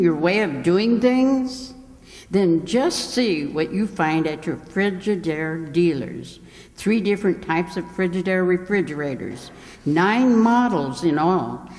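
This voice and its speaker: A woman playing an old-time radio show announcer, reading a sponsor's ad in a measured, formal sales delivery. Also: on the low side, darkish tone. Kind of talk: 1940s radio announcing, scripted ad read. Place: stage in a hall before a live audience. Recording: echoey hall, long reverb.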